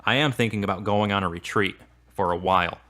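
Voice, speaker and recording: A man's voice: on the low side, quick pace, pushed and bright. An actor playing a frustrated, earnest man in audio drama dialogue, speaking in formal, carefully worded phrases. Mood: guarded, hesitant. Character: frustrated, earnest man in a strained romance, newly religious.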